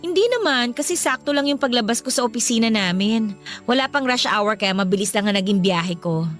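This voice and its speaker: High-voiced, pushy Filipino wife. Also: female voice, lively intonation, a little fast, fairly bright tone, faint music behind. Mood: sweet, teasing. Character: pushy, amorous young wife, quick to take offense. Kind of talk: Filipino radio drama acting.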